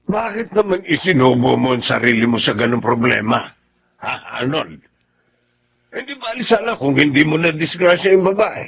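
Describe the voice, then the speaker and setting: A man's voice: medium pitch, sing-song, moderate pace, creaky, fairly bright tone, slightly rough, exaggerated voice. A man acting a stern, scolding older father in a radio drama. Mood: stern, reproving.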